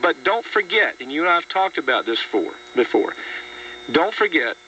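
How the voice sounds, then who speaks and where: A man's voice: on the high side, very animated, moderate pace, sharp tone. A man hosting a political radio talk show, speaking emphatically and sardonically, often repeating words for stress. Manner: mocking, sardonic. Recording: dull, distant radio audio with faint hum.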